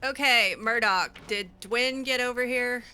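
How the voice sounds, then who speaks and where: A woman's voice: a little high, measured pace, bright, forceful tone. A woman playing through a role-play video game in character, musing aloud to herself in a hesitant way. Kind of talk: in-character game playthrough commentary.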